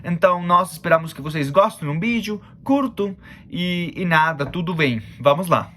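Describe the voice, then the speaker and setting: Higher-voiced young man presenting. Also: lively intonation, normal speed, a bit bright. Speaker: student presenter. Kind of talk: video introduction.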